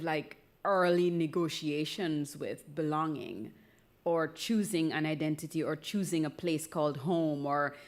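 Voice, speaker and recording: A woman with a Jamaican accent talking candidly on stage, unhurried, thoughtful and playful. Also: sits low. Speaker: speaker in a live conversation event. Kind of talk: reflective, candid talk before a live audience.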